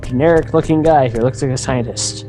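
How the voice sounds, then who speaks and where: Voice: medium pitch, a little fast, dark tone, soft background music, low-quality recording. A let's play commentator with a playful, teasing manner, riffing casually over a video game.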